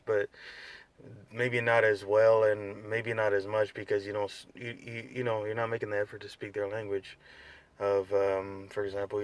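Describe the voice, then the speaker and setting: Young man, tired, unscripted vlog, low even voice, rambling delivery. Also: no echo. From United States. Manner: laid-back, rambling, many fillers and restarts. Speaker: vlogger.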